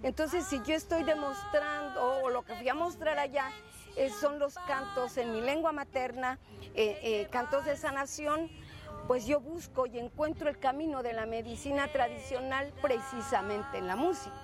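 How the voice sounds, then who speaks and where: High-voiced woman healer with music behind. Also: moderate pace. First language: Zapotec. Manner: earnest, searching for words, repeats herself. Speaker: singer and traditional healer. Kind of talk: interview answers. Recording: music playing under the voice.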